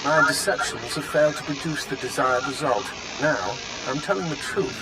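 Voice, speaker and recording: A man's voice: medium pitch, normal speed, close to an everyday voice, low-quality recording. A man playing a composed, calculating villain in a video game, formal and coolly polite. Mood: coolly persuasive. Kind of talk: video game cutscene acting.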